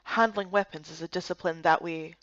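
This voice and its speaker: Woman doing a low, gruff warrior leader. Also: steady tone, brisk, fairly bright tone, no room sound, dull audio, natural voice. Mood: stern, blunt. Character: gruff, serious male warrior guard leader.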